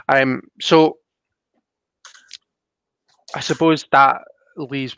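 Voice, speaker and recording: A man hosting a casual live stream over an online call, talking thoughtfully and haltingly and reading out comments. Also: mid-range voice, gravelly, a bit bright, smooth, no echo. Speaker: live stream host and card reader. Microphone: online call microphone.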